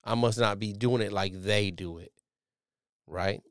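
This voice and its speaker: A man in his thirties hosting a podcast, talking casually as a Navy chief, loud and profane with a strong voice. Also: fairly low pitch, a little slow, no echo, studio quality. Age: millennial, 30s. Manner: animated, profane, loud and emphatic. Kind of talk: casual solo podcast commentary.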